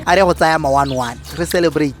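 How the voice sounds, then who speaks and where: A man with a Botswana accent acting a cheeky, excitable young friend in a radio drama, playful and full of exclamations in slangy chat. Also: fairly high pitch, fast talker, soft background music, natural voice. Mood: amazed, delighted.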